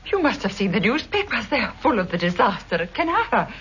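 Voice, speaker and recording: An actress playing a proud, wounded mistress of a master criminal, intense and formal, in 1960s radio drama style. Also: female voice, medium pitch, big pitch swings, fast talker, a bit bright. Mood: challenging. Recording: muffled vintage radio recording, faint noise.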